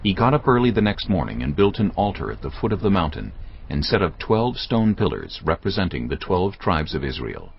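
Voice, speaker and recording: Deep, lively male scripture narrator. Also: a little fast, vocal fry, breathy edge. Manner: expressive storytelling delivery. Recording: dull lo-fi audio, faint music.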